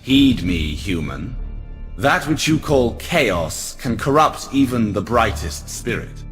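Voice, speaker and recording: An actor playing a proud, aloof alien elf prince in a video game, speaking in a measured, formal and haughty way. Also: male voice, medium pitch, big pitch swings, a bit bright. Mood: grave warning. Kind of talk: video game cutscene acting. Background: music.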